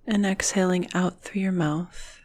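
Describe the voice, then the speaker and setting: A woman guiding a meditation in a soothing, breathy manner, with phrases often trailing off into a whisper. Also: fairly low pitch, steady tone, measured pace, a little mellow. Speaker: meditation guide. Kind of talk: guided meditation narration.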